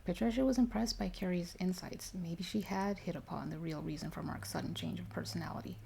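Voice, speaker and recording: A woman reading a story aloud in ASMR style, soft-spoken and drifting into whispers. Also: on the low side, brisk, gravelly, slight background noise. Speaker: reader of paranormal stories for ASMR.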